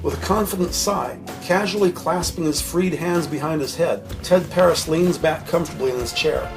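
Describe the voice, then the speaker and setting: A man's voice: on the high side, moderate pace, over music. A man narrating a scripted crime audio drama with vivid, slightly wry storytelling.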